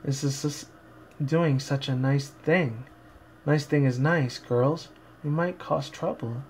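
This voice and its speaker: A man voicing a shy, gentle cartoon pegasus pony girl, speaking softly and hesitantly in a story reading. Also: medium pitch, slow, slight background noise. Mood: timid, worried. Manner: hesitant, soft, meek.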